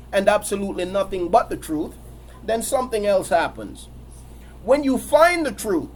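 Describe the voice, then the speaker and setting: A man's voice: high voice, darkish tone, a little hiss or hum. A man preaching a sermon while he has a cold and sniffles, repeating his phrases in a building, emphatic cadence.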